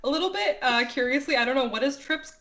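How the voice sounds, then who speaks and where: A woman's voice: sits high, lively intonation, quick pace, fairly bright tone. A woman improvising narration as game master of a tabletop game, in a casual, amused way.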